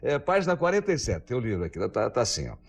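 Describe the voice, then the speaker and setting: A man's voice: mid-range voice, huge pitch swings, fast, husky. A man, hosting a 1990s TV interview, reading lines from his guest's book and nudging him along short assents. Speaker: TV talk show host. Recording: 1990s TV studio, from VHS.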